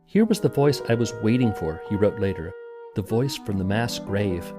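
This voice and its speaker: A man's voice: lowish voice, expressive, moderate pace. A man hosting a podcast, reading scripted documentary narration. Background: music.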